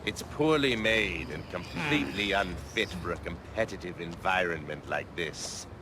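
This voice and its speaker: An actor playing a haughty, menacing rival villain in a video game, speaking in a formal, condescending way. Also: male voice, sits low, lively intonation, moderate pace, noisy background. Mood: smug disdain.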